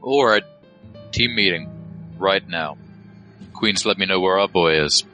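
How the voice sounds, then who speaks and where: Commanding leader of a street team played by a man, low and forceful, clipped orders, audio drama. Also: measured pace, soft background music. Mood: brisk, commanding. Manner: firm, terse, authoritative.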